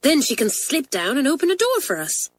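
A woman voicing Pat, an eager, quick-witted schoolgirl who talks in urgent whispers. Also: high-pitched, huge pitch swings, pitched above the performer's own voice.

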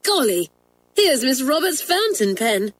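A woman voicing a lively schoolgirl in a storybook, exclaiming in old-fashioned slang. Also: high voice, unhurried, pitched above the performer's own voice, full character voice. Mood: surprised, excited.

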